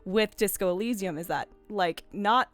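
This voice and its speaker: Woman chatting in a rambling way, clear voice. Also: mid-range voice, moderate pace, soft background music. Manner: rambling, digressive, full of self-interruptions. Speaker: host of a game discussion stream. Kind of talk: casual chat about video games.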